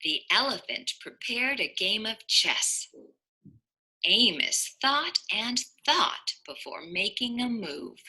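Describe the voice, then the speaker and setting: A woman reading a picture book aloud to young children as a kindergarten teacher, expressive and deliberate, with dramatic emphasis. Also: fairly high pitch, breathy edge, poor audio.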